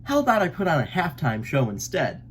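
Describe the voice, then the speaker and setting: A man's voice: fairly high pitch, wildly swooping pitch, a little fast, fairly bright tone, slight background noise, voice pushed up. A man voicing a cheerful, plucky little boy duckling in a storybook, with a breezy, theatrical manner. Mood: hopeful.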